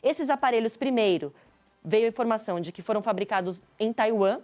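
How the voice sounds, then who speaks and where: A woman's voice: on the high side, a little fast, darkish tone, faint music behind. Brazilian woman reporting live news.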